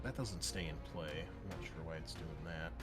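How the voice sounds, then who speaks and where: A man's voice: extremely low pitch, normal speed, a bit bright. A man working as game master, narrating and refereeing a tabletop roleplaying game in an improvised, conversational way. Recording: background music under the voice.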